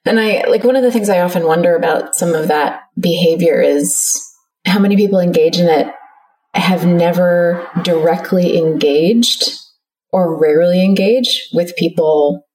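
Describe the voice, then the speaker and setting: A woman's voice: lowish voice, normal speed, a little mellow. A woman speaking as a podcast guest on empathy, reflective and casual, often hedging with small fillers. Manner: reflective, hedges with "like" and "you know". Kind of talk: casual interview chat. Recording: slightly distant mic.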